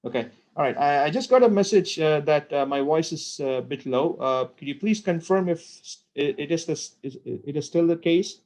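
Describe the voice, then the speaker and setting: Guy walking through a webinar, kinda high voice, lots of uhs and restarts. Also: animated, normal speed, hardly any pauses, darkish tone. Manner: hesitant, many fillers and repeated words. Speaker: product presenter. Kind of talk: live webinar presentation.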